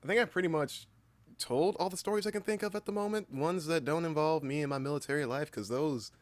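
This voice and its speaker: A man's voice: a little high, fast talker, no echo. A man in his early twenties chatting casually as a livestream host, with a playful, exaggerated way of talking. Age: early 20s. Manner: playful, exaggerated, self-mocking. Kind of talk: casual livestream chat, storytelling.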